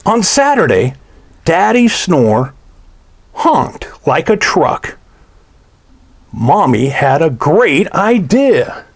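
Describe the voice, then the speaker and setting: A man reads a children's story aloud at a very slow, deliberate pace. His fairly high voice swings widely in pitch. The delivery is playful and theatrical, with phrases stretched out for young listeners. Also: very animated, husky.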